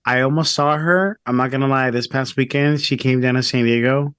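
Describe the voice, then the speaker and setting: A young man co-hosting a podcast from a home studio, chatting casually and a little hoarse. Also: mid-range voice, steady tone, fairly quick, fairly bright tone, no echo. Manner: chatty, laughs easily. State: slightly hoarse after losing voice. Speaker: podcast co-host. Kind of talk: casual chat. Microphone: studio mic.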